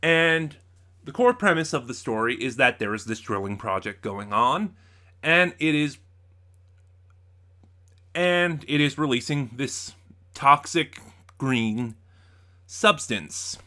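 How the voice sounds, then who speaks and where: A man's voice: mid-range voice, animated, takes it slowly, pauses often, pushed and bright. A man hosting a casual, unscripted review, talking in a rambling way with many asides and self-corrections. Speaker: host of a review channel.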